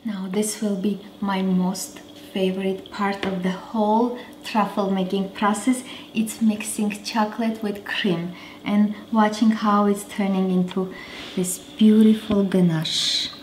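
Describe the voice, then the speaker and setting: A woman's voice: medium pitch, flat delivery, a little slow, darkish tone, slight background noise. A woman in her thirties hosting a home cooking show, chatting casually as she cooks in her kitchen. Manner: chatty, talks while cooking. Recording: roomy kitchen with faint clatter.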